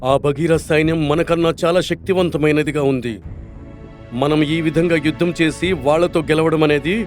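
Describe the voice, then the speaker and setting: A man's voice: on the high side, lightly acted. Guy doing a bossy scheming lion king, cartoon fable, ruthless, music underneath. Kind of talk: animated story dialogue.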